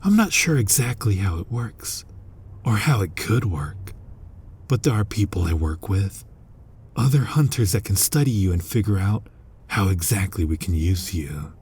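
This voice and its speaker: A man playing a guilt-ridden, centuries-old vampire hunter who is himself a vampire, husky and halting, in a dramatic ASMR roleplay. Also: big pitch swings, a little mellow, exaggerated voice. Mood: earnest, persuasive. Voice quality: husky, breathy low voice. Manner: slow, brooding, halting, often dropping toward a whisper.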